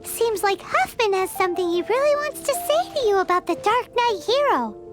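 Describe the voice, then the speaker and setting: A little video game fairy sidekick with a very high, childlike squeak. Her delivery is chirpy, excitable and flustered, leaping around in pitch. Game music plays behind her. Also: normal speed. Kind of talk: video game character acting.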